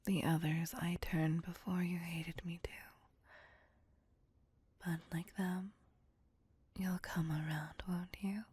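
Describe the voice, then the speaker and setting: Slow, husky, whispering vampire seductress played by a woman. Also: on the low side, level tone, a little mellow, no room sound, heavily acted. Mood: soothing, possessive. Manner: slow, teasing, theatrical purring menace. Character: seductive, possessive female vampire, menacing yet doting lover. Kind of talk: ASMR roleplay, intimate whispered acting.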